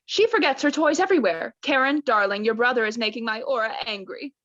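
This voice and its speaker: A woman's voice: fairly high pitch, fairly bright tone, no echo, lo-fi sound, close to an everyday voice. A woman playing a doting New Age wife in a student radio play, affectedly soothing with a snippy edge. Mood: prim, snippy. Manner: affectedly soothing therapy-speak, drawn-out.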